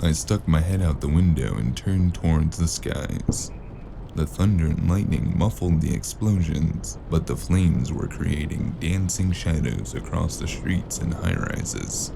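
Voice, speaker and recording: Male actor as hard-boiled detective, deep gravelly voice, weary, terse, noir radio play, noisy background. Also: measured pace, full character voice. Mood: brooding narration. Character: weary gruff male noir detective narrating his cyberpunk case. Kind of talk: hard-boiled noir audio drama narration and dialogue.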